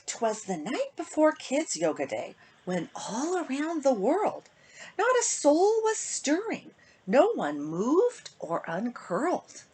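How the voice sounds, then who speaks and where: A woman children's author with a slightly husky voice, reading a storybook aloud to young listeners and chatting to them in an animated way. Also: high voice, takes it slowly, a little hiss or hum. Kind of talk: casual intro and expressive storybook reading to children.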